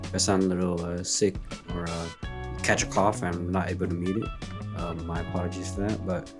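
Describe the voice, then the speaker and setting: A man co-hosting a casual sports podcast, laid-back and joking with quick quips, his voice a little hoarse from a recent illness. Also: low-pitched, flat delivery, over music. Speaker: podcast co-host. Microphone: remote call recording.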